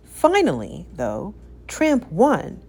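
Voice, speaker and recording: A woman narrating a children's story as an expressive read-aloud. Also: on the low side, takes it slowly, vocal fry, slightly rough.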